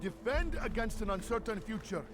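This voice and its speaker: A man's voice: high-pitched, lively intonation, normal speed. A man playing a fervent, persuasive street crier recruiting the poor in a video game. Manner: declamatory, persuasive. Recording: loud game soundtrack behind.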